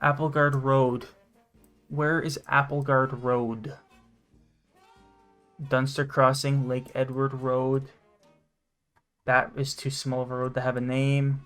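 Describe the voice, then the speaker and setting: A young man giving excitable, sweary, casual commentary as he plays a game. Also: mid-range voice, takes it slowly, long pauses, clear, resonant tone, soft background music. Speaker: gaming channel host.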